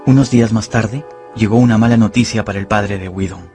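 A man's voice: fairly low pitch, steady tone, fairly quick, dark tone, faint music behind. A man narrating a radio drama from a script in a storytelling style.

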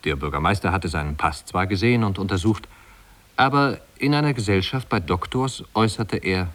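A man's voice: sits low, a little fast, fairly bright tone. A man narrating a 1950s German radio drama as a wry storyteller recalling his small-town youth in a leisurely, conversational way. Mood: wry, reminiscing.

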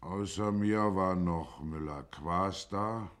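Deep-voiced small-town witness. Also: male voice, takes it slowly, fairly bright tone. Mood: measured, deferential. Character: plain-spoken small-town neighbour testifying to a magistrate. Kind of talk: German radio drama acting.

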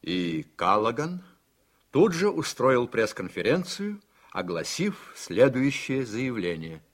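A man narrating a radio play in a measured, scripted storytelling manner. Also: medium pitch, very animated.

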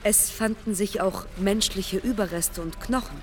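A woman acting a formal, effusive interviewer in a radio drama, encouraging and smoothly steering the talk. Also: medium pitch, fairly even intonation, unhurried, distant mic, faint music behind, close to an everyday voice. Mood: businesslike.

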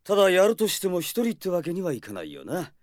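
A very animated, teasing warrior. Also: male voice, high-pitched, quick pace, no echo, studio quality. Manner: casual, blunt, teasing. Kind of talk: Japanese radio drama acting.

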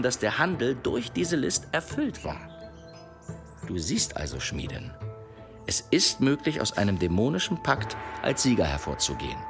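A polite, crafty traveller spinning a tale; expressive medium-pitched voice over prominent music, radio play. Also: male voice. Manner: polite, unhurried storytelling. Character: courteous male traveller telling a sly tale. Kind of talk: German radio play acting. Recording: music under the voice.

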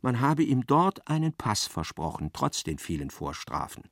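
An actor playing a dry storyteller, giving measured, precise literary narration in a radio play. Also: male voice, mid-range voice, very animated, dry recording, natural voice.

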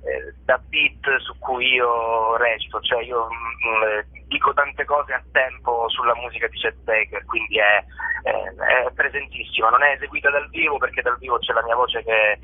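A man's voice: mid-range voice, fairly even intonation, moderate pace, breathy edge. A male stage actor giving a casual radio interview, speaking enthusiastically and often hesitating and repeating words as he searches for the phrase. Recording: narrow phone-like line.

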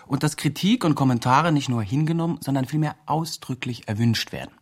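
An actor playing an earnest, wordy do-gooder blogger making appeals in a radio drama. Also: male voice, medium pitch, fairly quick, lightly acted. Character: earnest do-gooder blogger campaigning for a homeless man. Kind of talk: scripted blog-like radio drama narration.

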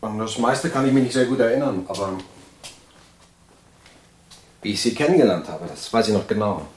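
A man acting a middle-aged mental patient looking back on his life in a radio play. The voice is fairly low, reflective and wandering, with a detached, faintly snobbish air. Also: lively intonation, normal speed, darkish tone, slight background noise, close to an everyday voice. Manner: reflective, meandering. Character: reminiscing male asylum patient, middle-aged, snobbish, attached to mother. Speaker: actor in an audio drama. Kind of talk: monologue of recollection in audio drama.

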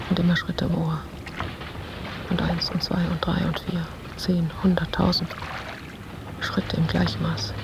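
An actress playing an intense, uneasy woman in a literary radio play, narrating her memories and fears in terse, clipped phrases with a creaky voice. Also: on the low side, background noise. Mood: breathless, urgent. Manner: terse, clipped phrases, at times near whispering.

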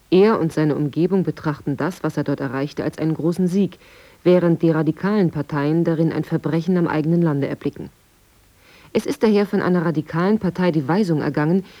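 A woman with a deep, mellow voice reads from a script for a radio feature. She sounds formal and precise. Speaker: reader of quoted press texts. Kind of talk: scripted reading.

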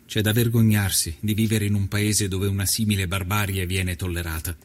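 An actor playing an earnest nineteenth-century Italian investigative journalist, narrating his reportage in measured, literary first person for a documentary. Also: low-pitched, flat delivery, slight background noise, lightly acted. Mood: indignant.

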